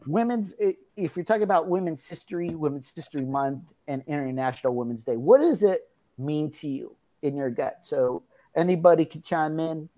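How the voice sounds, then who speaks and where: A woman hosting a panel discussion, warmly repeating her praise of each guest before asking the next one to speak. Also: low voice, lively intonation, normal speed. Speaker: host.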